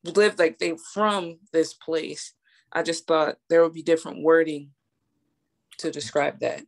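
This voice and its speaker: A woman student speaks up on a video call to question the wording of a text. She talks casually, pausing to find her words. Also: low-pitched, steady tone, creaky. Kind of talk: asking a question in class.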